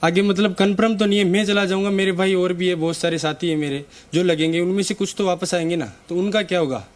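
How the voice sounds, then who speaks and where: A man's voice: high voice, steady tone, fast. A man of twenty-one preparing for the army, speaking earnestly about his worries. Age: 20s. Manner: earnest, questioning. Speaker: army aspirant.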